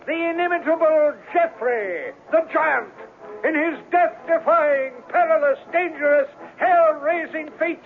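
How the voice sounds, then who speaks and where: Very high-pitched, bombastic circus ringmaster. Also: male voice. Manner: grandiose, drawn-out, declamatory. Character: bombastic circus ringmaster announcing a death-defying act. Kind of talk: radio drama acting, circus barker. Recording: tinny old radio broadcast.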